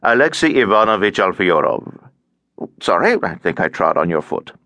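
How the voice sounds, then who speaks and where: A man's voice: deep voice, very animated, moderate pace, pushed and bright, no echo, full character voice. A man voicing a cheerful, tiresome, garrulous elderly Russian émigré in an audiobook, droning on with over-familiar chattiness. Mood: chatty, undismayed. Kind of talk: audiobook character acting.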